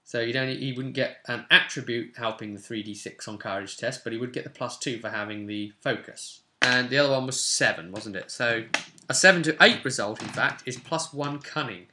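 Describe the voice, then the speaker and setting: A man's voice: mid-range voice, fairly quick, noisy background. A man in his twenties casually talking through tabletop game rules and reading parts aloud, recorded at a desk in a small room at home. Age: 20s. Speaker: tabletop roleplay channel host.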